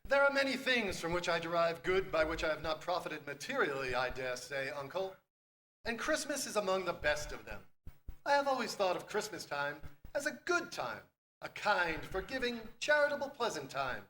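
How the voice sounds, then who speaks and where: A cheerful, earnest young nephew pleads warmly with his uncle in a high, lively, bright voice. It is a live stage performance in the spirit of 1940s radio theater, with a faint hum of ambience behind him. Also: normal speed, full character voice.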